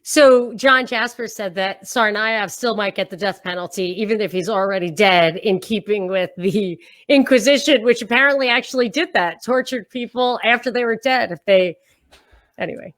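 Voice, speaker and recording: A middle-aged woman, a podcast guest on a video call, chatting in an animated, self-mocking way. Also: mid-range voice, normal speed. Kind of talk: casual chat.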